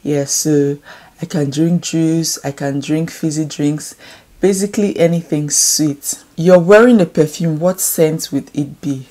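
Young woman, Nigerian accent, low warm voice, chatty home vlogger talking to a close camera. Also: fairly even intonation, measured pace. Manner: chatty, rambling, reads questions aloud. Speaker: beauty YouTuber. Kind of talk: casual Q&A to camera. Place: indoor room at home.

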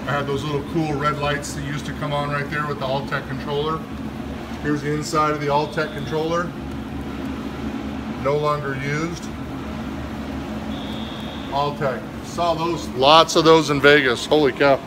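A man's voice: on the high side, flat delivery, normal speed, pauses often, very noisy. Enthusiastic middle-aged man filming an old machine room. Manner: enthusiastic, admiring old equipment. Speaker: HVAC service manager. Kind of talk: casual walkthrough narration. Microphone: phone camera held in hand.

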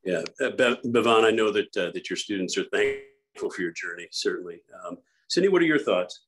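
Male moderator, very low voice. Courteous, slightly halting, hands questions to panelists. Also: animated, fairly bright tone, a little hiss or hum.

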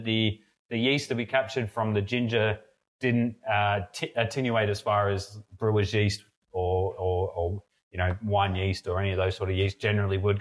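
Man, young adult, low voice, casual, rambling, fillers, brewery room, table camera. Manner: relaxed, rambling, many fillers and restarts. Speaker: podcast co-host, mead brewer. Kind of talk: casual tasting chat. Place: brewery room with steel tanks, indoors.

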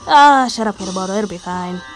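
A woman voicing a careless, bumbling, excitable clown in a cartoon fan dub, muttering and exclaiming to himself. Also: mid-range voice, brisk, faint music behind, lightly acted. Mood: dismissive.

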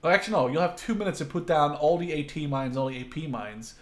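A man's voice: fairly high pitch, animated, fast. A man in his twenties in casual gaming banter, teasing and swearing freely. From Netherlands. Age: 20s. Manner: profane, teasing. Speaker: gaming channel host.

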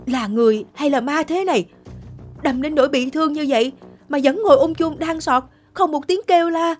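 Woman voicing a loud, bossy soldier, pitched high. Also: brisk, faint music behind, lightly acted. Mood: astonished. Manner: commanding, scolding. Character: brusque male soldier clearing the road, loud and bossy. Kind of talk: animated historical folktale voicing.

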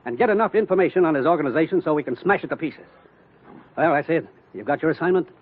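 An authoritative commissioner in a vintage radio play. He talks fast and animatedly, on a muffled old recording. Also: on the high side, expressive. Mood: urgent, serious. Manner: brisk, authoritative. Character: urgent, businesslike male commissioner briefing his agent. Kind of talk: 1950s radio drama acting.

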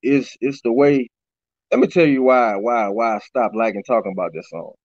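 A middle-aged rapper from Baton Rouge, talking loosely in an interview over a video call. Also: male voice, mid-range voice, moderate pace, darkish tone, no echo. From Baton Rouge, Louisiana. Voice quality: raspy. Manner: loose, repeats "you know what I'm saying". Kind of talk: casual interview chat. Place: small room indoors. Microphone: webcam on a video call. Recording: dull lo-fi video call audio.

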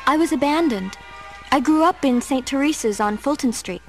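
Radio-play heroine: young, polite, sincere, high-pitched. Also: female voice, lively intonation, normal speed, faint music behind. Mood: earnest. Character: polite, earnest young woman scientist.

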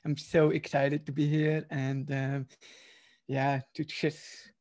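A Swiss man in his thirties tells his story from a room at home into a laptop mic. His voice sits slightly high with little rise and fall, and he halts often on fillers. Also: little pitch movement, slow pace, darkish tone. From Switzerland. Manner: hesitant, many uhs, slightly non-native phrasing. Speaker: podcast guest. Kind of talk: personal storytelling interview. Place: home room, video call.

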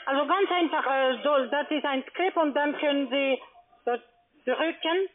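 A woman giving call centre instructions in halting, non-fluent phrases. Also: high voice. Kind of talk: phone instructions, halting speech. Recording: narrow tinny phone line.

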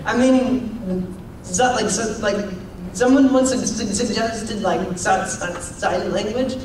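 A man's voice: high-pitched, slow pace, background noise. A young man doing stand-up with a heavy stutter, repeating sounds and laughing at his own jokes, on stage in a large hall. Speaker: stand-up comedian. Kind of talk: stand-up Q&A with audience. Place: large campus event hall, on stage before seated audience. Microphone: handheld mic, far camera. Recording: echoey hall from far off, crowd laughter.

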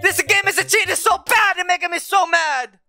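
A man voicing an excitable, easily exasperated cartoon plumber, commenting on a video game in exclamatory broken English. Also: very high voice, fairly quick, vocal fry, soft background music, exaggerated voice. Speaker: gamer playing with a child. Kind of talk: excited gameplay commentary in character.

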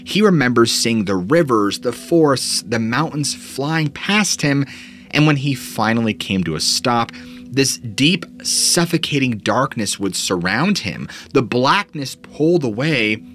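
A man's voice: medium pitch, expressive, a little slow, fairly bright tone, soft background music. A man in his thirties narrating eerie stories as a host, in a dramatic storytelling manner, from a small home studio on a studio mic. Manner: dramatic storytelling with sweeping rises and dips. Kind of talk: scripted narration of paranormal stories. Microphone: studio mic in front of him.

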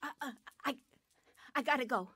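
A woman's voice: sits high, normal speed, background noise. An actress playing a timid ten-year-old girl in a radio drama, hesitant and pleading. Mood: nervous.

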